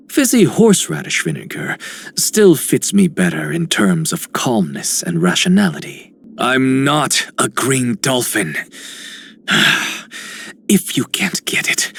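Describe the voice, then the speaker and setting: A man voicing an aloof, prickly scholar in a video game, speaking in a dry, precise manner. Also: lowish voice. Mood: exasperated. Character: aloof, cerebral male scholar, proud and prickly. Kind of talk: scripted game character lines.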